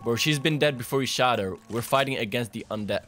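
A young man on a headset hosting a co-op horror game, energetic with loud outbursts. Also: medium pitch, fast talker, soft background music. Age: young adult. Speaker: gaming channel host. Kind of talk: co-op gameplay banter.

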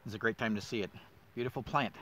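A man's voice: on the low side, fast talker, a bit bright, a little hiss or hum. A middle-aged man guiding a casual walk through winter woods, enthusiastic and thinking aloud with plenty of fillers. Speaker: woodland steward host. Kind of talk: casual nature walk guide.

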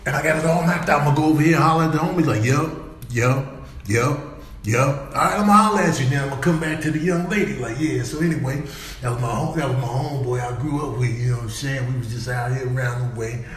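A man voicing a boastful, smooth-talking, flirty male lizard in slangy street talk for a comic animal voiceover. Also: mid-range voice, fast talker, very echoey, close to an everyday voice. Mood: smug, bragging. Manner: cocky slangy street talk, repeats phrases.

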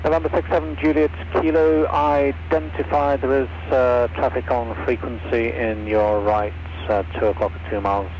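A man's voice: medium pitch, flat delivery, moderate pace, few pauses. A man speaking as an air traffic controller in clipped phraseology over aircraft radio. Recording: dull, noisy aircraft radio reception.

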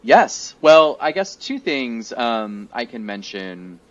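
A man's voice: mid-range voice, sing-song, slow pace. A man in his thirties co-hosting a casual podcast chat over a video call, a little congested, chatty and often laughing. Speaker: podcast co-host. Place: home room, indoors. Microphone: headset on a video call. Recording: muffled lo-fi video call audio.

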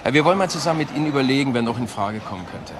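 An actor playing a probing, matter-of-fact police investigator in a crime radio drama. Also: male voice, mid-range voice, animated, very fast, background noise, close to an everyday voice.

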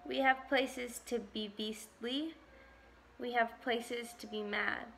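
A woman's voice: a little high, steady tone, slow pace, fairly bright tone, a little hiss or hum. A young adult woman reading a picture book aloud to preschoolers as a volunteer, recorded indoors. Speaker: volunteer story reader.

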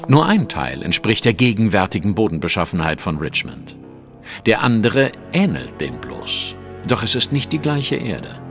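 A deep-voiced, animated gentleman detective. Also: moderate pace, gravelly, faint music behind, exaggerated voice. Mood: lecturing, superior. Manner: precise, lecturing, formal. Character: shrewd, analytical gentleman detective, coolly self-assured. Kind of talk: audio drama acting.

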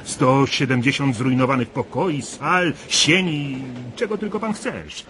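Talkative folksy local in an old radio drama, medium voice, bit of noise behind. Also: male voice, medium pitch, unhurried, sharp tone. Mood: boastful, relishing. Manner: talkative, emphatic, colloquial. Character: chatty, folksy local man, proud castle gossip. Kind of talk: 1990s Polish radio drama acting. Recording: radio play with background noise.

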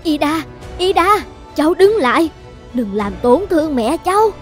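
A woman playing a kindly, concerned older neighbour auntie in an animated fairy tale, speaking in a soothing way. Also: high voice, moderate pace, soft background music. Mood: alarmed, pleading.

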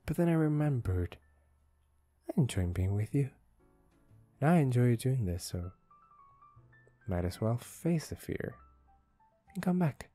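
A man playing a smitten, teasing young office worker in an ASMR romance roleplay, speaking softly and intimately, often close to a whisper. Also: medium pitch, big pitch swings. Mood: earnest, confessing. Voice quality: soft, breathy, often dropping to a whisper. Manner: slow, halting, intimate, teasing. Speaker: ASMR voice actor. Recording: music behind a close voice. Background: soft instrumental music.

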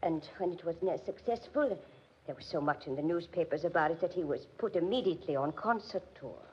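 An actress playing an anxious, gentle German immigrant widow in a 1940s radio drama, speaking with a German accent in halting, pleading, formal phrases. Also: female voice, on the low side, lively intonation, breathy edge. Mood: wistful. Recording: muffled vintage 1940s radio recording.

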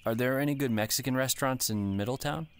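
A man's voice: medium pitch, fast, smooth, natural voice. A man playing a weary put-upon homeowner. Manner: flat, tired, put-upon replies. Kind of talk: comedy radio play.

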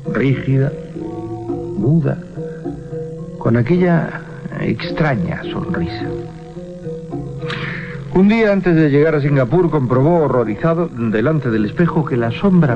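An actor narrating a horror radio drama as an ominous storyteller, with suspenseful pauses, repetitions and a Spain accent. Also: male voice, medium pitch, lightly acted. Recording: muffled 1980s radio recording.

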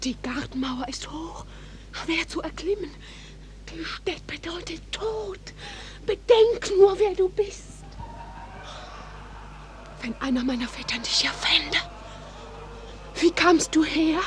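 A woman playing an ardent, anxious girl in love in a 1940s radio play, speaking classical verse in a breathy, hushed declamation. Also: very high voice, lively intonation, slow pace, pauses often, heavily acted. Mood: alarmed, urgent. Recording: hissy 1940s radio recording.

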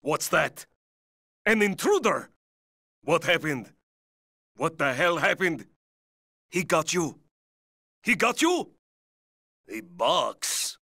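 Alert, gruff soldier guard, video game barks, forceful delivery, very dry close sound. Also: male voice, fairly high pitch, very animated, slow, long pauses, no room sound, exaggerated voice. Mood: suspicious. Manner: terse, barking.

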